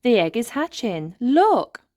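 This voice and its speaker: A curious young boy elf put on by a woman reader chirps up with lively, raised pitch. He is eager, helpful and excitable, in children's storybook style. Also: slow, dry recording, clean studio sound. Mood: excited.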